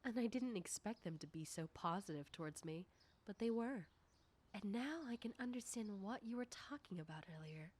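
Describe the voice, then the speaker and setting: A woman playing a confident, nosy popular high school girl in an ASMR roleplay, talking coyly and teasingly to the listener. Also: expressive, normal speed, darkish tone, close to an everyday voice. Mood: coy, playful.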